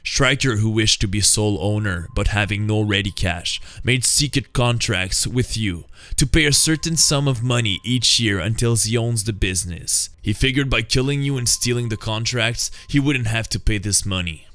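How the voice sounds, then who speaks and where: A man voicing a grim masked vigilante detective in a comic-book drama, explaining things in a measured way. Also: on the low side, fairly bright tone, no echo, pitched below the performer's own voice, lightly acted. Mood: grim, controlled.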